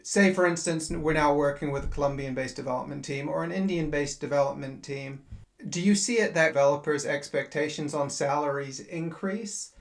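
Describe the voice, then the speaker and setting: A man's voice: a little high, moderate pace. A man hosting a business podcast, asking long, carefully framed questions. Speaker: podcast host.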